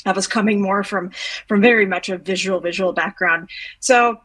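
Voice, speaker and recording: A woman's voice: mid-range voice, normal speed, fairly bright tone. A woman, answering interview questions reflectively, with many fillers and restarts. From Bosnia. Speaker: guest.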